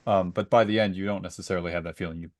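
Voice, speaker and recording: A man's voice: sits low, steady tone, rapid-fire, no room sound, studio quality. A man co-hosting a podcast in casual conversation, telling stories and acting out bits of remembered dialogue. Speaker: podcast co-host and story reader. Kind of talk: casual book discussion with personal anecdotes.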